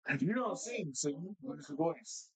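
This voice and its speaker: A man co-hosting a casual podcast chat, playful and slangy, steering the questions with jumpy, lively talk. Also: on the high side, normal speed, slightly rough, poor audio. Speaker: podcast co-host.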